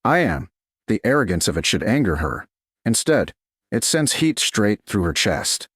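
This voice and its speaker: A male audiobook narrator reading dramatic romance. His voice is husky and fairly low, with very expressive pitch movement and short, deliberate phrasing. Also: no room sound, clean studio sound.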